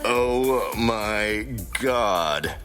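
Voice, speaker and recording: A man's voice: lowish voice, extremely slow, fairly bright tone, faint music behind. A man voicing an exasperated, sarcastic male space outlaw leader in a comic fan fiction audio drama. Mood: annoyed.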